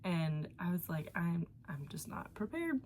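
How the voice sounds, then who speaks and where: A woman's voice: on the low side, very animated, unhurried, darkish tone. Chatty, slightly congested woman host. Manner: rambling, chatty, with fillers and self-corrections. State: congested from allergies. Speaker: knitting podcast host. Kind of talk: casual chat. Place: her home.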